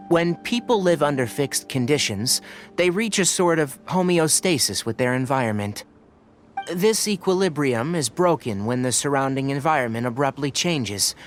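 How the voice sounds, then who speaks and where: An actor playing a calm, scholarly young male researcher in a video game, articulate and measured as he explains. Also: mid-range voice, lightly acted.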